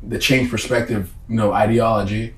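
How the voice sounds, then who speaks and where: A man's voice: fairly low pitch, flat delivery, normal speed. A young man speaking casually as an interview guest, in slangy, emphatic phrases that he often repeats. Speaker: interview guest, comedy event host. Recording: echoey room.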